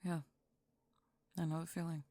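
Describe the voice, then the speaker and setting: A woman playing a cool, blunt teenage bully girl with a wry, deadpan, teasing manner in an ASMR audio roleplay. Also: low-pitched, moderate pace, dry recording, clean studio sound, lightly acted. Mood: wry, softer.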